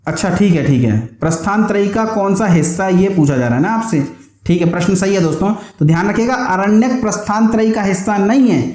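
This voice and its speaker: High-pitched man teaching, echoey room. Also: a little mellow. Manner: explanatory, asks questions and answers them himself, repeats key terms. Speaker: teacher of exam preparation classes. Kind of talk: online history lesson with question and answer drilling.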